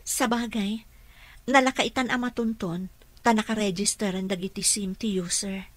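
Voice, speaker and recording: A woman's voice: medium pitch, fairly even intonation, normal speed, dry recording, lightly acted. A woman acting as a polite, earnest caregiver and protective mother in a radio drama, with an Ilocano accent. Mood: steady, serious.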